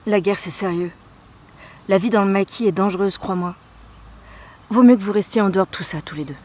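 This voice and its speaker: A woman's voice: mid-range voice, brisk, a little mellow, slight background noise, lightly acted. A young woman playing a resolute, anxious Resistance courier in a radio drama, speaking urgently and earnestly. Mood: cautioning, firm.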